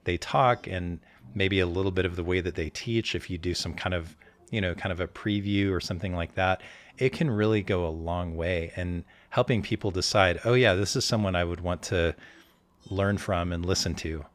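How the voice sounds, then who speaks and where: A man with a very low voice hosts a casual interview. He explains features with clear enthusiasm and keeps the conversation moving. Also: normal speed. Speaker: host.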